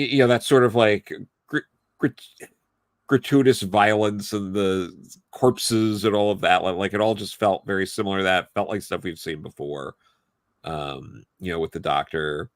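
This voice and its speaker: A man speaking as a podcast panelist, giving a casual, rambling and halting opinion in a review discussion. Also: sits low, no room sound, studio quality. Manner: halting, rambling, hedging delivery.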